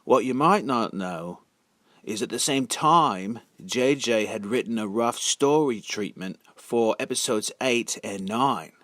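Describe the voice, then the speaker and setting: Slow-talking man in a dry, close recording. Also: medium pitch, animated, a bit bright, dry recording. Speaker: video narrator and amateur reader. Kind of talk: casual monologue commentary.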